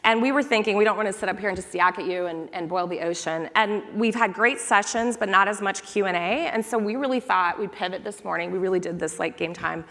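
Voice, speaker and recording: A woman's voice: medium pitch, brisk, hardly any pauses, fairly bright tone. A woman moderating a conference panel, chatty and upbeat, addressing the audience from the stage. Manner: upbeat, chatty, quotes lines playfully. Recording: long reverb of a large hall.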